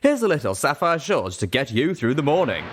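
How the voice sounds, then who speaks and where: A brash, upbeat pony disc jockey announces the news. His pitch is lifted and leaps about for emphasis. He stretches words like a folksy showman. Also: big pitch swings, very noisy. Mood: sardonic, preachy. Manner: drawn-out showman delivery, punchy emphasis.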